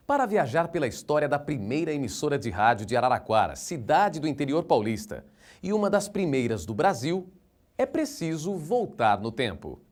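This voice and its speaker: Lively Brazilian man introducing a documentary. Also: a little high. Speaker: documentary presenter. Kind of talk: scripted narration.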